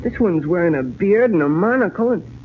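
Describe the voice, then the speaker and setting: An actor playing an excitable, wide-eyed teenage boy sidekick in a 1940s radio serial. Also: high voice, fairly quick. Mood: amazed. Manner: excitable, exclaiming. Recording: tinny, noisy 1940s radio broadcast.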